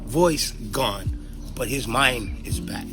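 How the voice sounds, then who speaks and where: A man's voice: mid-range voice, expressive, takes it slowly, over music. A man from Hawaii with a Hawaiian Pidgin accent tells personal stories casually, in a candid, slangy, playful way. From Kahuku, Hawaii. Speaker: interviewee.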